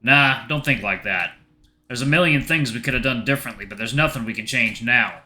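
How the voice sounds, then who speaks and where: A man's voice: mid-range voice, fairly quick, pushed and bright. A man playing a stubborn, laid-back grown man with an offhand, defensive way of talking. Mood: firm, consoling.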